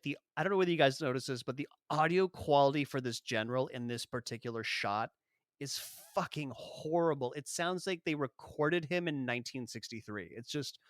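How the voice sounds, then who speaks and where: A man co-hosting a podcast, chatting casually about a film, animated and swearing, often acting out lines from the movie. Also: on the high side, normal speed, dry recording, clean studio sound. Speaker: podcast co-host. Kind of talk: casual film review chat.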